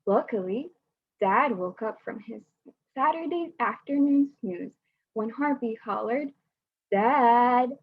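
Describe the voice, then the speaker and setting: A young woman student reads a children's picture book aloud over a video call. Her voice sits slightly high and breathy, and she fills her small talk with hesitant "um"s. The call audio is dull and lo-fi. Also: expressive, measured pace. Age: young adult. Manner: hesitant with fillers when talking freely. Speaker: student volunteer reader.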